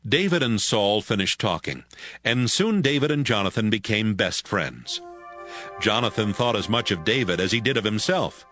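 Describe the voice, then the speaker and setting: A man's voice: on the low side, expressive, moderate pace, a bit bright. A man narrating a dramatized audio Bible as scripted storytelling. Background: music.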